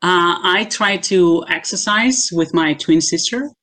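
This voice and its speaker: A woman from Greece answers questions in a casual interview over a video call. Her voice sits low and deep, and she speaks in a measured way, pausing and hesitating often as she gathers her thoughts. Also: fairly bright tone. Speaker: guest, assistant professor.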